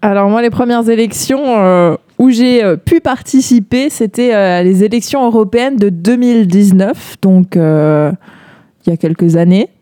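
A woman's voice: mid-range voice, moderate pace, mellow, no room sound. A young woman from Alsace co-hosting a radio show, talking casually with many hesitations and easy laughs. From Alsace, France. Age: young adult. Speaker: radio co-host.

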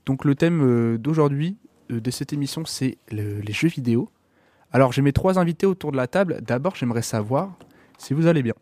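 A man's voice: mid-range voice, expressive, a little fast, a little mellow, no room sound. A man hosting a casual radio music show, stuffy with a cold, hesitant and stumbling over his words. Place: radio studio.

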